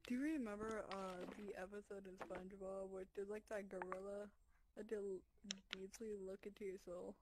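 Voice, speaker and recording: A woman's voice: mid-range voice, fairly even intonation, moderate pace, darkish tone, rough recording. Giggly young woman gaming casually. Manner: giggly, playful. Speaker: gamer co-host. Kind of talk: casual gameplay chat.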